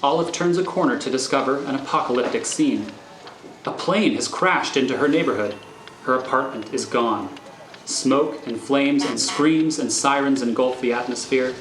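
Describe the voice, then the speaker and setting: A man's voice: mid-range voice, normal speed, a bit bright, a little hiss or hum. A man reading scripted screenplay narration as the narrator of a thriller. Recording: roomy recording over faint music.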